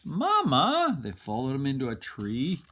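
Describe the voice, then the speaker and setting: An older man reading a children's picture book aloud expressively, like a grandfather, with dramatic pauses. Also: mid-range voice, lively intonation, a little hiss or hum.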